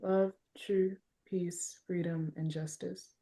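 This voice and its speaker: A young woman answers catechism questions in a religious class. Her voice is low and mellow. She gives each answer promptly and plainly, without fuss. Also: little pitch movement, slow pace, husky. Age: young adult. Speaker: class student.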